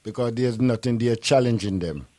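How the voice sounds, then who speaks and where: A man's voice: medium pitch, moderate pace, warm tone, no echo, clean studio sound. A man from Jamaica with a Jamaican accent, hosting a casual radio talk show in a loose, rambling way. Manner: laid-back, rambling, peppers speech with "you know". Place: radio studio.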